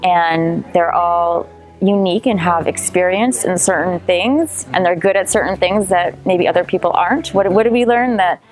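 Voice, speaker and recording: A woman's voice: lowish voice, moderate pace, poor audio. Confident New Jersey woman giving advice. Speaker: home staging expert and video marketing guest. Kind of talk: casual interview chat. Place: indoors on a couch. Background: background music.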